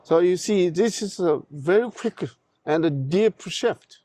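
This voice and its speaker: A middle-aged man with a Tibetan accent, whose first language is Tibetan, speaks as a podcast guest, answering haltingly with many restarts and fillers. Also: sits high, animated, dark tone, no echo. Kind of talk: interview answers.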